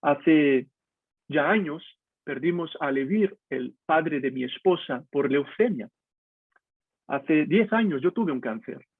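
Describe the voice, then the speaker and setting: A man's voice: on the high side, animated, takes it slowly, husky, no echo. A man from Brazil, a pastor, speaking candidly and directly to his listeners in a personal devotional talk. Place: home, video call. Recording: tinny phone-like online call audio.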